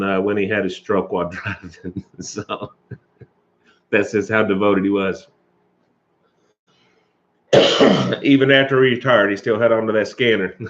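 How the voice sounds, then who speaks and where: A rambling, chatty man hosts a live stream, chuckling as he talks and stopping to cough from allergies. His voice sits fairly low, and the recording sounds dull and lo-fi. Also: a bit bright. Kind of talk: casual chat with live viewers.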